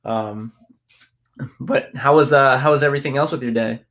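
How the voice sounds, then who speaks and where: A young man with a medium-pitched voice hosts a relaxed conversation. He talks casually as an interviewer, in an everyday conversational style. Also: moderate pace. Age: young adult. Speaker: interviewer, medical school applicant.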